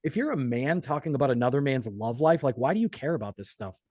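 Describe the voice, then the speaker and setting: A man's voice: medium pitch, lively intonation, fast talker, warm tone, dry recording, clean studio sound. An American man hosting an interview in casual, profane, conversational style. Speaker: podcast interviewer.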